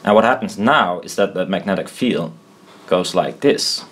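A man giving an informal tutorial at a desk, thinking aloud in a halting, self-deprecating way. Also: sits low, lively intonation, fairly bright tone, slight background noise. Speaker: presenter explaining loudspeaker technology. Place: indoors at a desk.

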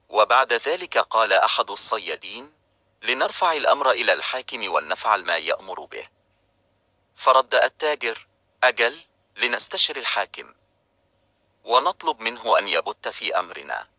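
A man with a lowish, very bright voice reads an old tale aloud in a calm, steady storybook manner. The recording is close and dry, with no room sound behind him. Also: no room sound. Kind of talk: scripted storybook narration.

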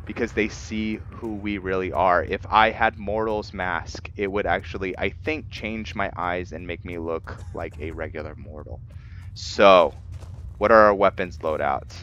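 A man giving casual, halting gameplay commentary with roleplay narration, thinking aloud as he goes. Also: deep voice, fairly even intonation, fairly bright tone. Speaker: gameplay video host. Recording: dull lo-fi mic, noisy background.

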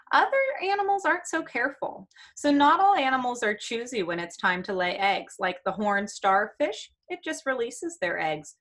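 Middle-aged American woman, teacher reading a children's book aloud, slightly high voice, explanatory, puts questions to young listeners. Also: a bit bright. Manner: explanatory, asking children questions, small exclamations. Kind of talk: children's read-aloud with asides. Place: small room indoors.

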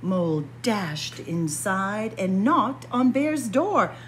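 A woman reading a picture book aloud to young children in an expressive manner, addressing them directly as friends. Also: mid-range voice, slow, slight background noise. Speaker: library story time reader. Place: indoors in a library, by bookshelves.

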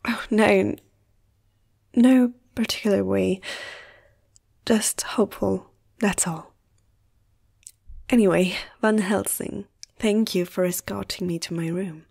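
A woman acts out a gentle, flirtatious vampire lady of noble blood in an ASMR roleplay. Her delivery is slow and intimate, with a mellow, slightly husky softness that often slips toward a whisper. She teases tenderly. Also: medium pitch, fairly even intonation, heavily acted. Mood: sleepy, hopeful. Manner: soft, intimate, teasing, often dropping to a whisper.

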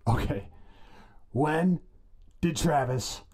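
A man's voice: on the high side, lively intonation, very slow, a little mellow. A man playing an irritable young caller to a radio show in an audio drama. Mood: irritated, eager.

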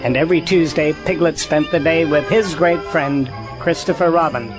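A man's voice: on the high side, moderate pace. A man narrating a children's storybook audio drama in a scripted reading style. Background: music.

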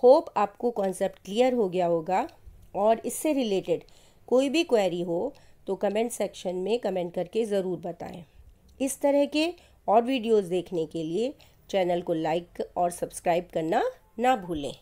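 A woman with an Indian accent explaining civics points one by one in a steady, explanatory voice-over for a slide lesson. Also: medium pitch, moderate pace. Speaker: teacher.

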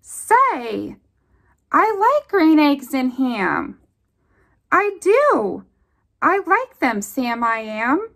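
A woman reads a children's picture book aloud in a high voice that swings widely up and down. She takes it slowly and deliberately, leaning into the rhymes with a singsong storytime rhythm. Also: sing-song, a bit bright. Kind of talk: expressive read-aloud of a rhyming picture book for young children. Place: indoors at a table.

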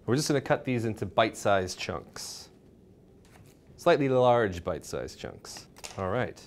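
A young man presenting a cooking show, explaining each step in an informal, instructional way. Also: fairly low pitch. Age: young adult. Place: indoor home-style kitchen.

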